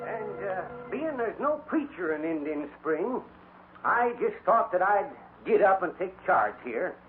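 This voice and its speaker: Casting: mocking makeshift Western preacher, raised voice, pompous flippancy, hissy old radio drama. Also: male voice, high voice, sing-song, unhurried. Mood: flippant, pompous. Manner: speechifying, flippant, loud. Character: brash, mocking makeshift funeral parson, a townsman. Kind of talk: 1950s radio western acting. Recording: old noisy narrowband radio recording.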